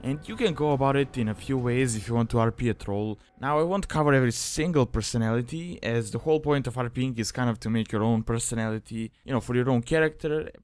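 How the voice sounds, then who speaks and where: A man's voice: medium pitch, fast, few pauses, a little mellow, clear, resonant tone, dry recording. Casting: male tutorial narrator, mid-range voice, informal and conversational, explaining things casually. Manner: informal, conversational, hedging phrases. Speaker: video guide presenter.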